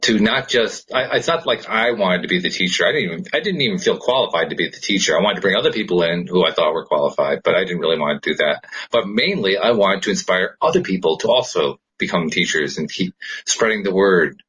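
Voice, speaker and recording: A man's voice: low-pitched, lively intonation, fast, fairly bright tone, husky, rough recording. A man hosting a podcast, chatting casually and explaining his thoughts in an earnest way. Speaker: podcast host. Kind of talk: casual conversational podcast chat.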